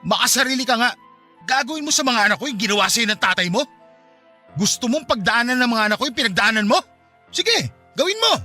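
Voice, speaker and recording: A man's voice: very high voice, big pitch swings, fast talker, pushed and bright, soft background music, exaggerated voice. An actor playing a callous married man in a radio drama, defensive and scolding as he rejects his former mistress. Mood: angry, threatening. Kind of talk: Tagalog radio drama acting.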